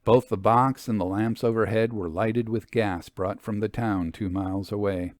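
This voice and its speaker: Measured male storyteller, close dry sound. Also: lowish voice, fairly even intonation, a little mellow, no echo. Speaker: narrator reading a short story. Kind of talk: literary audiobook narration of an old ghost story.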